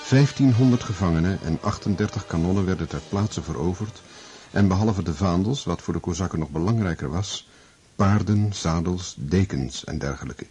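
A man narrating a historical war tale in a radio play, grave and measured in his storytelling. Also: sits low, mellow, slightly rough.

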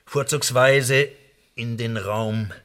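A man's voice: mid-range voice, steady tone, slow, a bit bright. An actor in a German radio drama playing a menacing French spymaster, taunting and mock-courteous as he threatens. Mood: probing, threatening.

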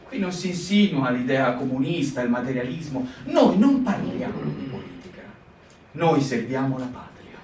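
A man's voice: medium pitch, sing-song, normal speed, a crowd in the background, pitched below the performer's own voice, lightly acted. A man voicing a stern priest who commands a band of partisans, speaking firmly and solemnly. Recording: roomy live stage, distant mic.

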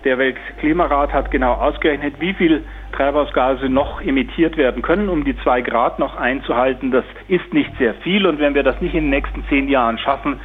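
A man speaking as an academic expert, explaining in an earnest, deliberate way. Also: mid-range voice. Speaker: expert, professor. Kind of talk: explanatory interview statement. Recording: tinny, narrow phone-like band.

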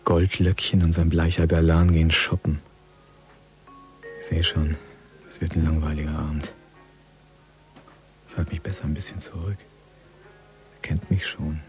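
A sardonic agent on a tedious stakeout in a radio drama. His voice is low and level as he mutters mocking asides to himself. Faint music sits underneath. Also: little pitch movement, moderate pace, pauses often. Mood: bored, sardonic. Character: sardonic, bored male surveillance agent tailing a couple. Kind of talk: radio drama inner monologue.

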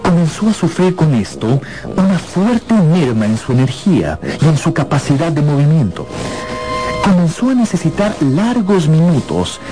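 A man narrating a dramatized radio novel in an expressive storytelling manner with deliberate pauses. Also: on the high side, rough recording. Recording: loud music bed under the voice.

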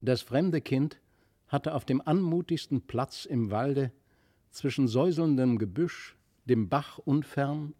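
A man's voice: medium pitch, warm tone, breathy edge, dry recording. A man narrating a literary fairy tale expressively from a script, close to a studio microphone.

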